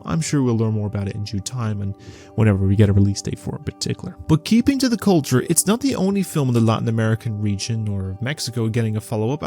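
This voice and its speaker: A man's voice: medium pitch, mellow. A man hosting a weekly entertainment news show, giving opinionated commentary and speaking directly to his viewers.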